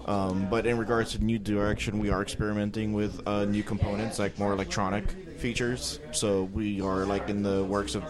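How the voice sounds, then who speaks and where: Low-voiced musician guest from Miami, relaxed, loud pub crowd around him. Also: male voice, a little fast, few pauses. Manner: relaxed, drops Spanish words. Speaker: band member guest. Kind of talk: casual interview answers. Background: crowd chatter.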